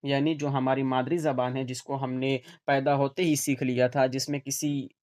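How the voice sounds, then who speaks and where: A man's voice: medium pitch, little pitch movement, moderate pace, darkish tone. A man teaching an online class in an informal lecture style, restating each point for his students.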